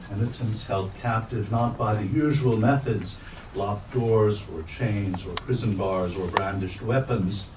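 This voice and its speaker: A man reading literary prose aloud at a bookstore event in measured, deliberate phrases. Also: mid-range voice, a little mellow. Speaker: reader at a staged literary reading. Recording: roomy, distant mic, faint noise.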